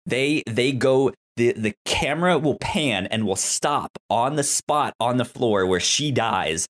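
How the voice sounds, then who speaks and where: Stammering, excitable man chatting on a podcast. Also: medium pitch, a little slow. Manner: stammering, repeats words, excitable. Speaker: podcast co-host. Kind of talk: casual TV recap chat.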